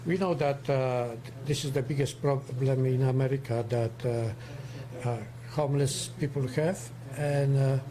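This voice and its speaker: A man in his seventies from Poland with a strong Polish accent, speaking haltingly on a casual livestream as co-host. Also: mid-range voice, steady tone, crowd noise. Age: 70s. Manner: halting, searching for English words.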